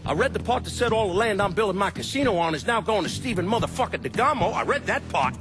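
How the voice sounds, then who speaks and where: An actor playing a volatile, profane mob boss in a video game, blunt and exasperated. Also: male voice, high voice, expressive, fast, over music.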